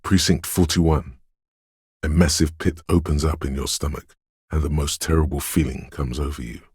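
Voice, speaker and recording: An eerie inner voice of intuition from a video game. It is extraordinarily low and thick with rasp. The delivery is cryptic and intimate, like dramatic narration addressed straight to the listener, captured close and dry. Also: male voice, fairly even intonation, moderate pace, darkish tone, dry recording, exaggerated voice. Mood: ominous. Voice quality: very deep, gravelly rasp. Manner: ominous, cryptic, theatrical, intimate.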